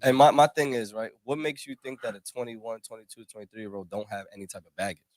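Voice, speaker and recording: A man's voice: medium pitch, fast, no room sound. A man, a podcast co-host, challenging the others with pointed questions in a heated casual debate.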